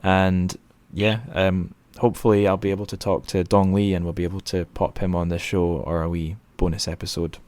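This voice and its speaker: Low-pitched man, chatty and informal. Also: flat delivery, fairly quick, hardly any pauses, darkish tone, faint music behind. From northern Britain. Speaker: podcast host interviewer. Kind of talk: casual conversational interview.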